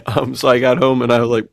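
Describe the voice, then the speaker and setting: A man's voice: mid-range voice, normal speed, vocal fry, no room sound, clean studio sound. A man co-hosting a podcast in casual chat, telling slangy personal anecdotes with quoted bits of dialogue. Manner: slangy, animated storytelling with quoted dialogue and frequent laughter. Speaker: podcast co-host.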